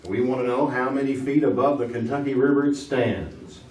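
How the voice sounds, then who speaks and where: Actor playing a fumbling old-time quiz host, jokey banter, distant echoey stage sound. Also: male voice, medium pitch, fairly even intonation, brisk, darkish tone, close to an everyday voice. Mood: joking. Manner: joking, flustered banter. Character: wisecracking bumbling 1950s game show host. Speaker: game show host. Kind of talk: stage radio comedy. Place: small theater stage. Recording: echoey theater from far off, audience noise.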